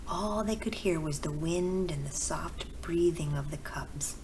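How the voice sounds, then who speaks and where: A woman narrates a bedtime story in a low, soft, breathy voice. The reading is gentle and very unhurried. Clear background noise sits under the recording. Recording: clearly noisy background with ambience.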